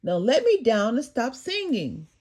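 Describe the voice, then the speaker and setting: A woman's voice: fairly high pitch, huge pitch swings, measured pace, darkish tone, breathy edge, heavily acted. A woman voicing a small, grumpy storybook mouse who complains indignantly. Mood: annoyed.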